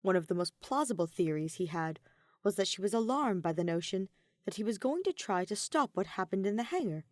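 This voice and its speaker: A woman reading scripted fiction as an expressive audiobook narrator. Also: mid-range voice, fairly quick, no echo, clean studio sound. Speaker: podfic narrator.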